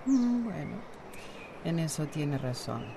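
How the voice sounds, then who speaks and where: Skeptical hairdresser, lowish voice, guarded radio soap delivery, noisy backdrop. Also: female voice, very animated, slow pace, creaky, heavily acted. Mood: cool, wary.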